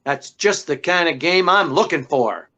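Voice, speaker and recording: On a slightly muffled recording, a determined old-time radio gumshoe, played by a man, talks in brusque slang. He is wisecracking and commanding, with a fairly high voice. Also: expressive, a little slow, pushed and bright, exaggerated voice. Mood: tough, pressing. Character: tough, determined, wisecracking male private detective. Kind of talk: 1940s radio acting. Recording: dull, band-limited sound.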